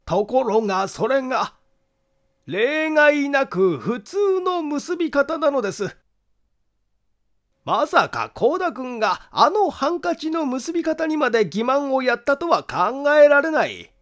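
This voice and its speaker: A man's voice: very high-pitched, big pitch swings, a bit bright, heavily acted. A man voicing a meticulous amateur detective in an audiobook, methodically and formally laying out his reasoning. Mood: methodical, confident. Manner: deliberate, formal, methodical explaining. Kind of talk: acted audiobook monologue.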